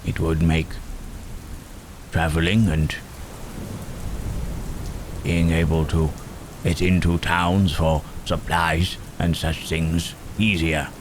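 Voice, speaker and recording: Man playing a very deep, slow, brooding monster. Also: noisy background. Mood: cautious, deliberating. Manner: halting, deliberate phrasing with musing questioning murmurs. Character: Frankenstein's monster, gentle, brooding, long-lived male creature. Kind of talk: ASMR roleplay audio drama. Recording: steady rain and thunder sound effects. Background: rain and thunderstorm ambience.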